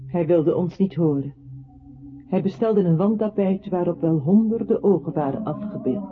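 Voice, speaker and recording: A man's voice: sits high, lively intonation, normal speed. A male actor playing a detached, disembodied voice that haunts a man, telling the tale tersely and solemnly in a radio play. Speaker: radio drama narrator-character. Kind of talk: dramatic radio play narration. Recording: tinny band-limited old radio recording. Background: music.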